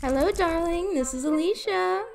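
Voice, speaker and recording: An actress playing a sweet, cutesy young secretary in love, cooing in a retro radio drama. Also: female voice, very high voice, moderate pace, vocal fry, loud music behind the voice. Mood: wistful, pleading. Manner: cutesy, baby-talk rhymes, cooing.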